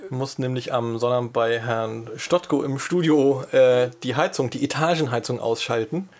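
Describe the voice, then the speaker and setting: Brief: relaxed male podcast co-host, chatty, quick asides. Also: mid-range voice. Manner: easygoing, quick asides, frequent short backchannels. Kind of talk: casual podcast chat.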